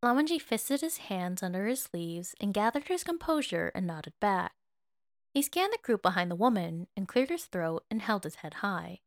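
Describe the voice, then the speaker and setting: A woman reading scripted fiction as narrator, in a measured, expressive manner. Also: medium pitch, no echo, studio quality. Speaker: narrator, fan fiction reader.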